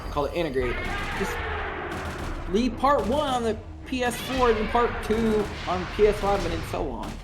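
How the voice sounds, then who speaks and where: A man in his thirties streaming a game and chatting with friends, excitable and quick to swear and laugh. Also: high voice. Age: 30s. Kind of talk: casual gaming stream chat. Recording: game noise clearly behind.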